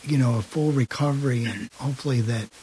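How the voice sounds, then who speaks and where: Man, radio host, dark mellow voice, mid pitch, earnest halting delivery, slight hiss. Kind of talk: casual talk radio announcement.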